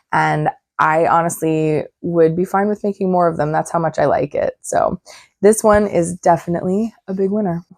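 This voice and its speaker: A woman's voice: on the low side, dry recording. Slightly froggy-voiced woman, dry close sound. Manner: chatty and upbeat. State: hoarse, recovering voice. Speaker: crafting channel host. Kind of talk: casual vlog talk to camera.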